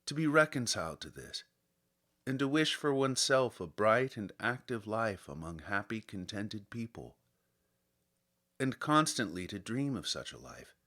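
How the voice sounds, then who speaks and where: A man reading literary fiction as an audiobook narrator, with a measured, dramatic storytelling delivery. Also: medium pitch, a bit bright. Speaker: audiobook reader.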